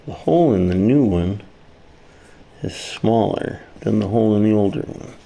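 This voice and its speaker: A man's voice: on the low side, animated, moderate pace, vocal fry, warm tone. A man casually demonstrating a hands-on repair, muttering to himself as he works. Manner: muttering to himself while working. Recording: faint background noise.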